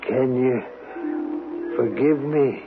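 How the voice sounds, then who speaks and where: An actor in a radio drama playing a lonely, guilt-ridden male killer who speaks in a halting, pleading way. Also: mid-range voice, over music. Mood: anguished, pleading. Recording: noisy, tinny old radio recording.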